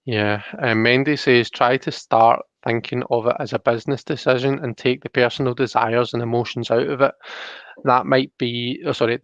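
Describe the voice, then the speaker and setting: A man hosting a casual live stream over an online call, talking thoughtfully and haltingly and reading out comments. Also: medium pitch, fairly bright tone, no room sound, lo-fi sound. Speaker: live stream host and card reader. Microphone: online call microphone.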